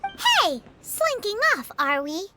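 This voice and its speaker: A woman voicing a tiny, cheeky, childlike fairy companion in a video game, with a squeaky, shrill voice and blunt talk. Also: huge pitch swings, takes it slowly, heavy background noise, heavily acted. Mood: accusing. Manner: speaks of self in third person. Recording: game music behind the voice.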